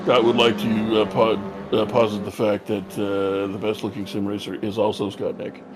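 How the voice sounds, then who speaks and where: A man's voice: fairly low pitch, level tone, normal speed, over loud music. A man co-commentating on a sim racing livestream with casual, wry, teasing banter. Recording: loud noise behind voice.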